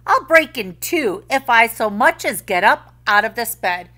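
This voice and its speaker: A lazy, self-pitying peasant husband moans about his troubles in a high, whining storybook voice, put on by a woman. His lilts, and his pitch leaps about wildly. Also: animated, a little slow, sharp tone. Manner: whiny, wailing.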